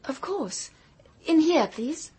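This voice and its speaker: An actress playing a young English private detective in a radio drama, speaking politely and earnestly. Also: female voice, high voice, big pitch swings, takes it slowly, warm tone, full character voice. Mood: earnest, apologetic. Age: young adult. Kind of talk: British radio drama acting.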